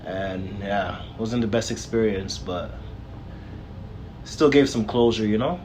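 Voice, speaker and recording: A man speaking as a guest in a casual discussion, slow and measured, often adding "you know". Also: lowish voice, a little mellow, a little hiss or hum.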